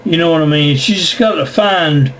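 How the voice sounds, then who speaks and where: A man's voice: on the high side, normal speed, a bit bright, poor audio. A man with an American accent in casual chat as an amateur radio operator, often repeating words and phrases. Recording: ham radio contact with faint noise.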